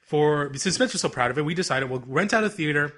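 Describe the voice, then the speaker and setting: Young man, bright, rambling podcast chat. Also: on the high side, expressive, fast. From Canada. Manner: laid-back, rambling, laughs often. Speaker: podcast co-host.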